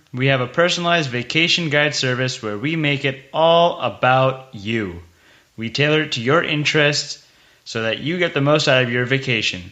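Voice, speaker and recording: A man's voice: mid-range voice, pushed and bright. A man hosting a podcast, delivering promos and introductions and putting questions to a guest. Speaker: podcast host. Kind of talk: scripted promo and interview hosting. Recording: dull lo-fi podcast audio.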